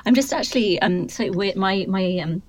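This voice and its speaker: A woman's voice: mid-range voice, normal speed, over a noisy crowd. Hesitant woman recording in a cupboard. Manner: hesitant, many ums and restarts. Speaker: podcast co-host. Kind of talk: casual chat. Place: cupboard in a hotel room. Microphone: computer mic, peaking.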